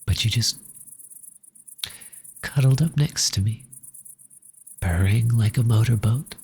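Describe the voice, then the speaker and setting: A man playing a tender, grieving orphan in a soft ASMR audio roleplay, talking slowly and haltingly to a pet cat in a breathy voice that often drops into a whisper. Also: deep voice. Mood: tender, remorseful. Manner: slow, halting, intimate.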